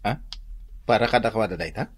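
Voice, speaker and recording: A man's voice: lowish voice, quick pace, lightly acted. A man playing a loyal, slightly boastful young husband in a comedy radio drama, bantering conversationally. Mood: surprised.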